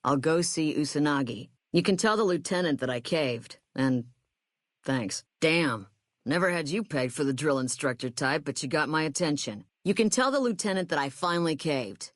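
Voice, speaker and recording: A woman's voice: deep voice, lively intonation, moderate pace, no echo, studio quality. A woman voicing a brash, hard-bitten female army sniper corporal in a video game, with a blunt, sardonic, tough delivery. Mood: grudging, resigned.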